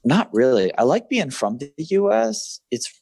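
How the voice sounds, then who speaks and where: A man in his thirties, a military veteran and freelance writer, answering interview questions in a measured, thoughtful way with many fillers and restarts. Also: fairly high pitch, sing-song, creaky, a little mellow, breathy edge, no room sound, studio quality. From grew up in Southeast Asia.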